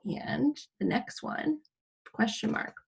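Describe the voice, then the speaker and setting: A woman teaching a children's English lesson, explaining in simple, deliberate phrases and posing questions to her pupils. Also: fairly high pitch, expressive, creaky, noisy background.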